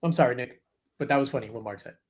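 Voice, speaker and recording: A man's voice: mid-range voice, fast, darkish tone. A man hosting a casual pop culture panel, joking and swearing as he reads out comments and topics. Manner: profane, jokey, reads viewer comments. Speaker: podcast host.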